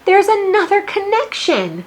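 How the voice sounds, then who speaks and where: An American woman teacher reading a picture book aloud to young children, expressive, with questions and teaching asides. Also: very high-pitched, unhurried, slight background noise.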